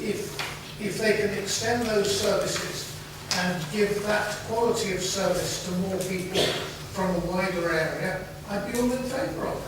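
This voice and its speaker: A man with a high-pitched voice gives a formal, halting answer as a committee member. He is recorded at a distance in a very echoey hall with clear noise. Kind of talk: formal answer at a public meeting. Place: large town hall meeting room.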